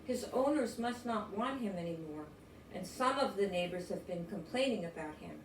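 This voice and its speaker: A woman's voice: medium pitch, lively intonation, moderate pace, lightly acted. A woman reading a storybook, playing a grumbling, dismissive old man who complains in an emphatic, folksy way. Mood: grumbling complaint. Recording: roomy, distant mic, faint noise.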